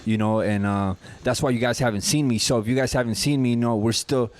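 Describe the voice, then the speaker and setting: A man's voice: mid-range voice, quick pace. A man, a podcast guest chatting casually and telling stories in a laid-back way. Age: 30s. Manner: storytelling, laid-back, says "you know what I'm saying" often.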